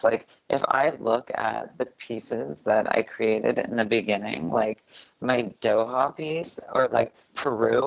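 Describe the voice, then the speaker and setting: A woman with a deep, gravelly voice talks in a relaxed, chatty way, full of filler words. The recording sounds dull and a bit lo-fi. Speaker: guest. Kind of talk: casual interview chat.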